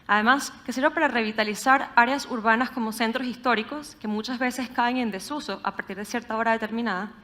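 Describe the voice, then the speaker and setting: A young woman with a Caracas accent delivers a prepared talk in an earnest, measured way. Her voice sits a little high. She often stops to put a rhetorical question to her listeners. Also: fairly even intonation, bright, forceful tone. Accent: Venezuelan Spanish, Caracas. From Venezuela. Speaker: speaker giving a talk.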